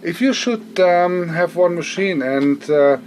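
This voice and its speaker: A man's voice: high-pitched, takes it slowly, a little mellow, a little hiss or hum. A man giving an informal, unscripted demonstration as a hobbyist, speaking hesitantly and repeating phrases for emphasis. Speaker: hobbyist presenter demonstrating vintage audio gear.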